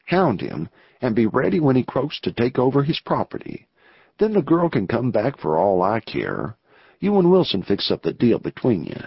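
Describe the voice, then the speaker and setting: A man's voice: medium pitch, creaky, slightly rough, dry recording, full character voice. A man voicing a greedy, scheming male rancher who talks eagerly and pushes his plan in an audiobook. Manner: eager, pressing. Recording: dull lo-fi audio.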